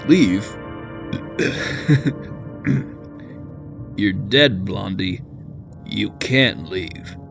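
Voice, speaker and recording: A gravelly, slow-talking demon villain, acted by a man in an audio drama. He is a pot-bellied lizard bully who grumbles and gives orders with menace, over prominent music. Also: lowish voice, animated, full character voice. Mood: scornful, bossy. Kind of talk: audio drama character acting.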